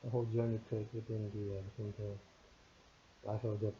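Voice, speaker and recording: A man's voice: fairly low pitch, monotone, a little fast, warm tone, dull audio, slight background noise. A man of thirty-nine whose first language is Korean, giving a casual, thoughtful spoken reflection on a book, with many restarts and fillers. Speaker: book reviewer.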